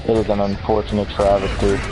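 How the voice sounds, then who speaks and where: Casting: man, lowish voice, joking gamer who rambles and ribs himself, loose and unscripted. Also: monotone, fairly quick, music in the background, low-quality recording. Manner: rambling, joking, self-deprecating. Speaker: let's play gamer. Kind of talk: casual gameplay commentary.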